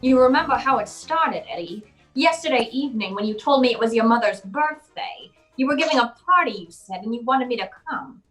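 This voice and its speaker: Casting: hardboiled female gumshoe played by a woman, higher voice, wry and bossy, vintage radio-play delivery. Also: lively intonation, a little fast, hardly any pauses, a bit bright, soft background music, rough recording. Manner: wry, commanding. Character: world-weary, tough-talking female private detective. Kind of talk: old-time radio detective drama acting.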